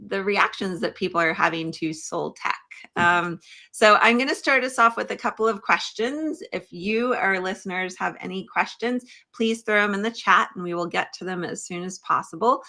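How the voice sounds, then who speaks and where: A woman's voice: fairly low pitch, pushed and bright. A woman hosting a conversational livestream interview, putting questions to a guest. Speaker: podcast livestream host.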